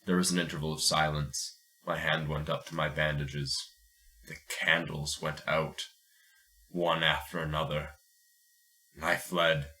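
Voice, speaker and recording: Deep-voiced, deliberate man reading aloud. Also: flat delivery, pushed and bright. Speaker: podcast host and story reader. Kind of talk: dramatic first-person story narration, then casual chat.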